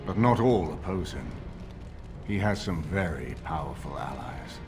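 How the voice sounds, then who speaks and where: An actor playing a grave, middle-aged nobleman in a fantasy game, speaking formally and with measured authority. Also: a bass voice, expressive, music in the background. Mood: grave, resolute. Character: grave older nobleman lord rallying allies against a usurper. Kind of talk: video game voice acting, dramatic dialogue.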